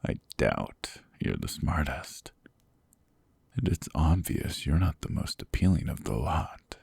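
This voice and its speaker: A man voicing a cold, sadistic, possessive demon king in an ASMR roleplay, talking slowly and mockingly in gravelly whispers close to the mic. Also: a bass voice, very animated, a little mellow, no room sound, heavily acted. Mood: cold disdain. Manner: slow, mocking, condescending. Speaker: voice actor.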